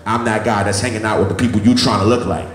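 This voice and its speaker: A man's voice: lowish voice, steady tone, fast, crowd noise. A man in his thirties, a music producer, telling his life story to a live audience with a repetitive, motivational cadence. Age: 30s. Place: event hall with an audience. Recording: echoey room.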